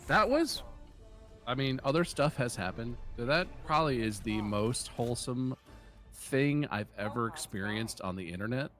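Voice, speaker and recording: A man's voice: mid-range voice, animated, normal speed, a bit bright, faint music behind. A man co-hosting a casual chat while gaming, with a wry, teasing manner.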